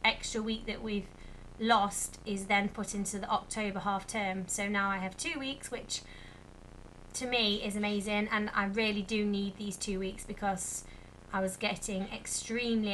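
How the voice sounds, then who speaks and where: Chatty young woman, bright voice. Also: medium pitch, flat delivery, normal speed, a little hiss or hum, low-quality recording. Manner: chatty, rambling with many fillers. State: slightly croaky. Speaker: vlogger. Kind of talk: casual chat to camera.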